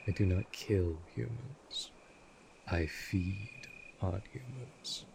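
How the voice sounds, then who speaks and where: A man playing an ancient, aloof vampire lord in an audio roleplay, speaking formally and imperiously with cold menace and a breathy, husky delivery. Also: fairly low pitch, takes it slowly, darkish tone, a little hiss or hum. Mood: cold, weary. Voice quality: husky, breathy, dropping near whisper. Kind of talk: scripted audio roleplay drama.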